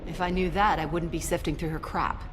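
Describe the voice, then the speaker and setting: A woman's voice: lowish voice, steady tone, fairly quick, background noise, lightly acted. A woman playing a brusque, cocky special agent in a video game, speaking with wry authority. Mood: sardonic. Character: brusque, cocky female special agent investigating a crime scene. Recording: music behind the voice.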